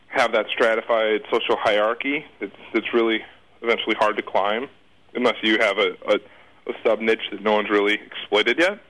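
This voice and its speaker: A man's voice: medium pitch, a bit bright, poor audio. A man answering casually as an interview guest, rambling off the cuff, repeating words and trailing off.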